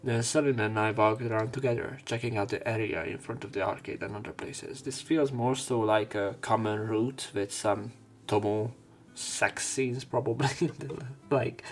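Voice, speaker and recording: A man hosting a let's play, reading game text aloud and adding casual, sweary asides. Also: on the low side.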